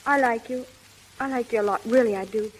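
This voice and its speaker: An actress playing a gentle, well-bred young woman with polite, proper diction in 1930s radio acting style. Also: fairly high pitch, brisk, natural voice. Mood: flustered, apologetic. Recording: noisy, hissing 1930s radio recording.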